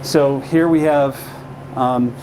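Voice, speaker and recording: A man's voice: medium pitch, steady tone, takes it slowly, noisy background. Chatty man hosting a store walk-through. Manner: conversational, stumbling restarts. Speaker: TV host. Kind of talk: casual on-location interview. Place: grocery store aisle.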